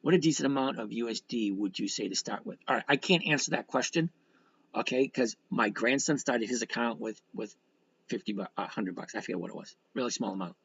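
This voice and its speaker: Gravelly sixty-year-old man, blunt and folksy. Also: mid-range voice, a little fast, fairly bright tone, dull audio. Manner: folksy, blunt, rambling, mildly profane. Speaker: livestream host and stock trader. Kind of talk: casual livestream chat, reading and answering comments.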